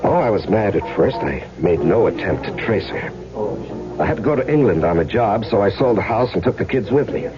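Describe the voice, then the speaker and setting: Guy acting earnest american husband in 70s radio play, deep voice, muffled old tape w/ loud music under. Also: expressive, a little fast, lightly acted. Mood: wistful. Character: earnest, pressing American husband searching for his missing wife. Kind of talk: 1970s radio drama acting.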